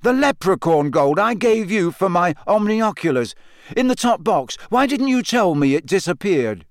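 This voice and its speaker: A man's voice: high voice, sing-song, normal speed, dry recording, clean studio sound, voice pushed up. Ron, a man doing a sulky teenage boy. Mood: resentful. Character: teenage boy, poor and touchy.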